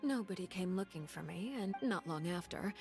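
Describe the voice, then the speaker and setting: An actress playing a composed, calculating woman leader in a video game, speaking in a measured, formal way. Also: on the low side, lively intonation, gravelly, over music. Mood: quiet, calculating.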